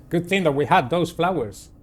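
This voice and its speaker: A man hosting a game playthrough, reading the story aloud in a dramatic, deliberate way and adding his own commentary. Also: fairly high pitch, animated, soft background music. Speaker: gaming video host. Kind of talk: reading visual novel narration aloud with personal commentary.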